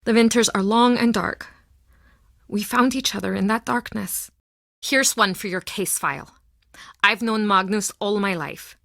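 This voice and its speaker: A woman's voice: mid-range voice, measured pace, gravelly, fairly bright tone, no echo, clean studio sound. An actress playing a guarded, heartbroken townswoman with an earnest, emotional delivery in a mystery video game. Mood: wistful, subdued. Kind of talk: dramatic video game voice acting.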